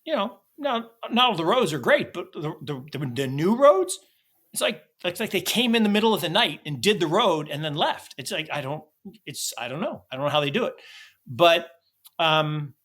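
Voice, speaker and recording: A man's voice: a little high, lively intonation, moderate pace, fairly bright tone. An American man co-hosting a podcast, chatting casually and animatedly about markets and travel, often restarting his sentences. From United States. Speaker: podcast co-host.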